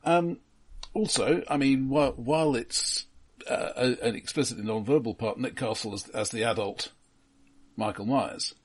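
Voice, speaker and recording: A man co-hosting a film podcast, talking casually and analytically in a halting way. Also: mid-range voice, breathy edge.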